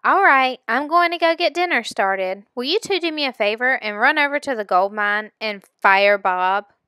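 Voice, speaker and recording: A woman plays a lively storybook mom who teases and stands firm. The voice is bright and fairly high, with brisk, emphatic phrasing. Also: smooth, no echo, studio quality. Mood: playful. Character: lively, teasing, no-nonsense mother of a teenage girl.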